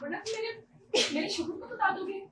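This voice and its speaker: A woman's voice: very high voice, brisk, crowd noise. A young woman student acting an anxious, pleading wife and mother with a secret in an amateur stage drama. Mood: desperate, pleading. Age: young adult. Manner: urgent, pleading. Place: university seminar hall stage. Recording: echoey hall, distant microphone.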